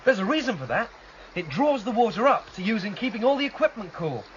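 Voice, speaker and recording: A restless, questioning young man in a radio drama. His voice sits fairly high and swings freely in pitch, on a slightly dull recording with some faint noise. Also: very animated, fast, a bit bright. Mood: eager, casual. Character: earnest, questioning young male rebel against a controlling state.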